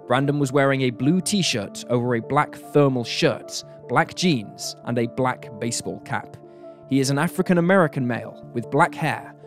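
A man delivers scripted true crime narration in a serious, measured way. His voice sits at a medium pitch, and music plays behind him. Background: background music.

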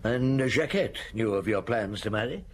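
An actor playing a thoughtful, tactful chief inspector in a radio drama, probing with hesitations and murmured hums. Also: male voice, on the low side, lively intonation, moderate pace, lightly acted. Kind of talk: classic radio drama acting. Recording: noisy old radio broadcast.

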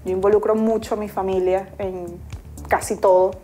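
A woman's voice: medium pitch, little pitch movement, normal speed, dark tone, soft background music. A young woman from Caracas with a Venezuelan accent, chatting easily as a guest on a sofa in a small studio set and laughing often. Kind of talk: casual interview chat.

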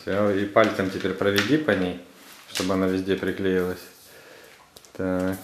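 A man's voice: low-pitched, little pitch movement, normal speed, noisy background. A man, a father chatting casually with children, giving instructions and prompting them with questions. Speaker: father guiding his children through a craft. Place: living room at home. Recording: roomy indoor home recording.

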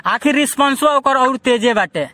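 Very high-pitched, insistent Bhojpuri-accented man. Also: expressive, normal speed, sharp tone, no room sound. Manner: insistent, repeats himself. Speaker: singer in a phone dispute. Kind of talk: heated informal phone conversation. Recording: noisy recorded phone call.

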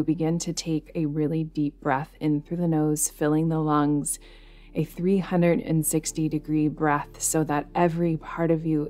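A woman guiding a yoga nidra meditation in a soothing, unhurried way, leaving pauses between instructions. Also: sits low, mellow. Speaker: meditation guide. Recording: music behind the voice. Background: music.

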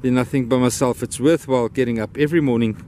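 A man's voice: mid-range voice, steady tone, quick pace, warm tone, a little hiss or hum. Afrikaans-accented man vlogging outdoors. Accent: Afrikaans-accented English. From South Africa. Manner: rambling, grateful, talks fondly to his dog. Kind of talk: casual vlog narration while walking a dog. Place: outdoors on a beach and a wooden boardwalk trail.

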